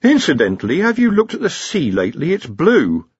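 Man doing a sardonic, put-upon wizard, lively intonation. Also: a little high, fairly quick, husky, dry recording, dull audio, voice pushed up, heavily acted. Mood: bitter, suspicious. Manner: sardonic, suspicious. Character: bitter, wary, put-upon male cowardly wizard. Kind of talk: audiobook character voice.

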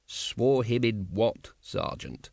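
A man's voice: on the low side, sing-song, slow, creaky, darkish tone, no room sound, clean studio sound, full character voice. A man voicing an exasperated police captain, curt, weary and sarcastic, in a comic audiobook.

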